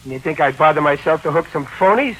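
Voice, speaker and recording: A somewhat high male voice plays a big working-class tough guy in a 1940s radio mystery. He talks in rough, ungrammatical street slang but is good-natured underneath. The old recording carries slight noise. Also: animated, quick pace, a bit bright, low-quality recording. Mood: defensive.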